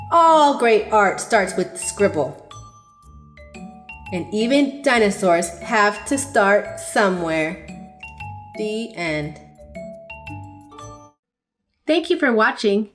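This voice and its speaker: A young adult woman hosting a children's channel, reading a picture book aloud in an expressive, sing-song way. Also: on the high side. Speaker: children's story reader. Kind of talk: read-aloud storytelling for children.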